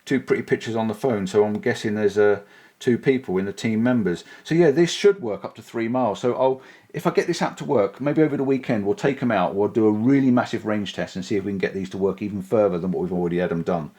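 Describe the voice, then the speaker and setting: A man's voice: on the low side, fast talker, warm tone. A man casually demoing and testing gear for his vlog, thinking aloud as he goes. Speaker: vlogger reviewing gear. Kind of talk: casual product demo. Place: outdoors on a bike, then indoors.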